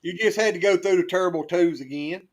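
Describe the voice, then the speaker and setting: A man's voice: sits high, brisk. A man joking and teasing others in a casual group chat. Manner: teasing joker.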